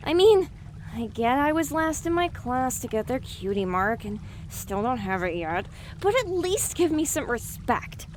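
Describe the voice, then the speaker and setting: A woman's voice: sits high, sing-song, measured pace, a little hiss or hum. A woman voicing a young female pony in an audio drama, wry, sarcastic and exasperated.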